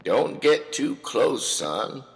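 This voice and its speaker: A man's voice: mid-range voice, big pitch swings, drawn-out words, creaky, in a large hall, soft background music, heavily acted. A man playing a wary, practical backwoods father in an audio comedy drama, with folksy rural phrasing. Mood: cautious.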